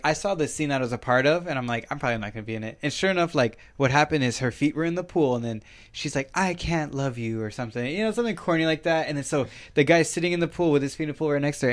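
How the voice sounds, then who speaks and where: A young man co-hosting a podcast, chatting casually and swearing freely, recorded on a studio mic in a small room. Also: medium pitch. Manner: laid-back, swears freely, storytelling. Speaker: podcast co-host. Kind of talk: casual chat. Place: small room with wood-panel wall. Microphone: studio mic on stand, headphones.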